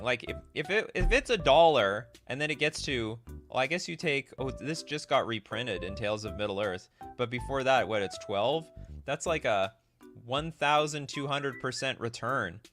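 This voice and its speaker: A man hosting a casual live stream, reading aloud and thinking out loud with frequent exclamations. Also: medium pitch, expressive, moderate pace, soft background music. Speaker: host of a card game live stream.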